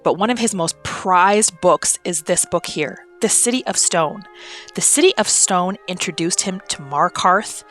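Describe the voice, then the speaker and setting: A woman narrating a character's backstory for a video game roleplay in a scripted storytelling style. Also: medium pitch.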